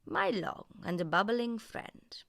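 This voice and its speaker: Brief: female reader as magical desert djinn, calm authority, measured and slow. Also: medium pitch, vocal fry, dry recording, studio quality, pitched above the performer's own voice, full character voice. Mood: stern.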